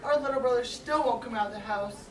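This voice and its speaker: Woman as a high-voiced, frightened storybook pig. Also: fast, some chatter behind, close to an everyday voice. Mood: alarmed. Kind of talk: youth stage comedy acting. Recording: echoey hall from far off, audience noise.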